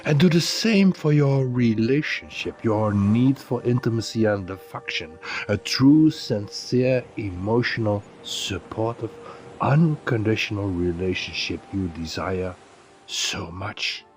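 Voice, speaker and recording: A man narrating a guided meditation in a soothing, deliberate way, leaving pauses between phrases. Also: fairly low pitch, animated, darkish tone, soft background music.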